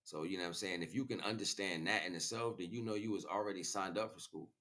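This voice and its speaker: A man's voice: low voice, fast, pushed and bright. An American man delivering an impromptu spiritual monologue to listeners, preachy and slangy, repeating phrases for emphasis. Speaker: spiritual livestream host.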